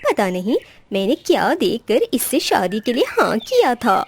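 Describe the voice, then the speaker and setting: A woman's voice: a little high, sing-song, normal speed, slight background noise. Woman voicing a bossy, scheming female cartoon crow. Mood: bossy, scolding. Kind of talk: Hindi children's cartoon dialogue.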